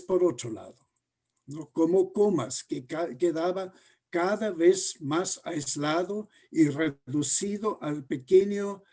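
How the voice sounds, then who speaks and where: A man explaining his research in an interview, deliberate and halting, often pausing mid-phrase. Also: fairly high pitch, mellow. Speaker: interviewed sociologist.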